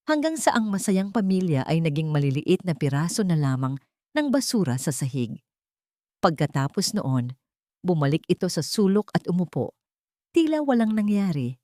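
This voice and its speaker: Female narrator for dramatic romance fiction, lower register, solemn and measured, dry close recording. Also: darkish tone, dry recording. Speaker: story narrator. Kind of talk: dramatic scripted narration of a love story.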